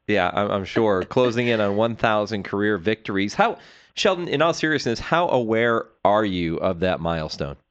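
Deep-toned man hosting on slightly dull radio. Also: expressive, moderate pace, clear, resonant tone. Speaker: radio show host. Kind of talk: broadcast sports interview.

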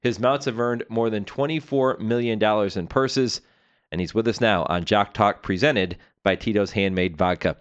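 A man's voice: on the low side, moderate pace, clean tone, highs cut off. A man hosting a sports interview show on the radio, with a polished announcer's delivery.

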